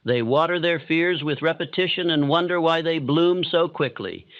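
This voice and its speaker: A man reading scripted audiobook-style narration meant for sleep, in a calm, steady, solemn manner. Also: fairly high pitch, moderate pace, no room sound, highs cut off.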